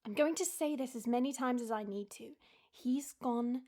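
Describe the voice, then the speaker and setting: A woman playing a worried, loving partner in an audio roleplay, with a breathy voice and a gentle, soothing way of talking. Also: fairly high pitch, moderate pace, close to an everyday voice. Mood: soothing, reassuring. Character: worried, loving female partner of a haunted assassin.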